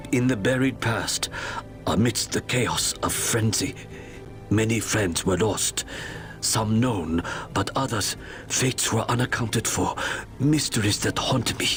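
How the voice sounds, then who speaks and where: Solemn old elder in a game, lowish and unhurried, courteous, haunted, bit of music behind. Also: male voice, a bit bright. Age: older. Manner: formal, measured, halting phrases. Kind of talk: dramatic video game acting.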